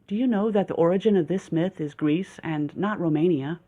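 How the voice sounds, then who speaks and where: A man doing an excited young wife, high voice, eager, dull lo-fi sound. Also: fairly quick.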